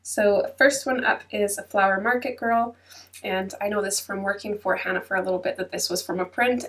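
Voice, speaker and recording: A congested woman with a stuffy nose talks casually as host of her channel, filling her chat with ums and hedges. Her voice sits at an ordinary middle pitch. She sounds set a little back from the microphone. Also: a bit bright, distant mic. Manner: chatty, many ums and hedges. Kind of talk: casual chatty flip-through voice-over. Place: at her computer.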